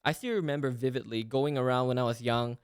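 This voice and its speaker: A man's voice: mid-range voice, clean tone. A man in his twenties from Malaysia, a guest in a casual interview, talking eagerly and often checking in with "right?". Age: 20s. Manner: fast, eager talk with frequent "like" and "right?".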